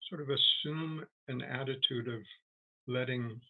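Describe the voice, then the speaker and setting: A man leading a guided meditation as a teacher, speaking gently and haltingly with long, unhurried pauses. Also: mid-range voice, fairly even intonation, poor audio.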